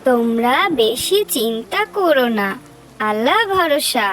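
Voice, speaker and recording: A woman voicing a gentle, devout village mother who calmly reassures her family in a cartoon story. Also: fairly high pitch, unhurried, noisy background. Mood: reassuring.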